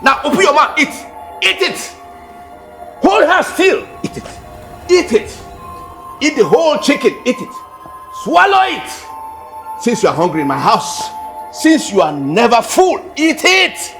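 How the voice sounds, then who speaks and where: An enraged master of the house who harshly berates a thief. His voice is high and swings wildly, leaping into shouts. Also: sing-song, unhurried, pauses often, faint music behind, heavily acted. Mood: furious.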